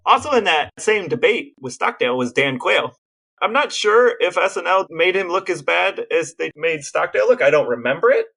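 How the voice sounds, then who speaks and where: A man's voice: sits high, lively intonation, fairly quick, vocal fry, bright, forceful tone, slightly rough. Man casually recounting historical anecdotes. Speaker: podcast co-host.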